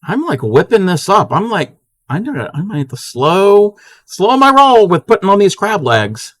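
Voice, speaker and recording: A man with a fairly high, bright, forceful voice, talking slowly and loosely to his live chat. He breaks off and restarts his sentences as he crafts. The sound is dry and close. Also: sing-song, no echo. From Maryland, USA. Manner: chatty, answers chat, many restarts. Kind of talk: casual live-stream chat.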